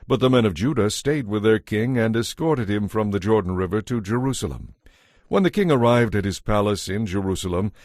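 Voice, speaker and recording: A man reads scripture as a narrator, formal and measured. His voice sits fairly low, and the sound is dry and close. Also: a little mellow, no echo.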